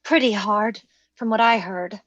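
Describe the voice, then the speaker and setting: An actress playing a nervous, timid younger sister in an old-time radio gothic drama, fretful and hesitant. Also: female voice, mid-range voice, measured pace, a bit bright, dry recording, dull audio. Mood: hesitant, secretive.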